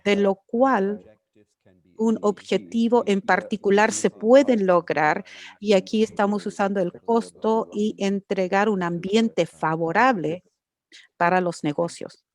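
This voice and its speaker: A woman interpreting an academic lecture live, halting and correcting herself as she goes. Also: mid-range voice, fairly even intonation. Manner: halting, with frequent restarts and self-corrections. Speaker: conference interpreter.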